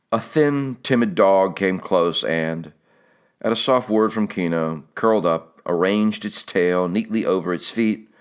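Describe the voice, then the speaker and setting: A man reading literary fiction as an audiobook narrator, in a measured, expressive way with phrases that soften at their ends. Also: sits low, clean tone.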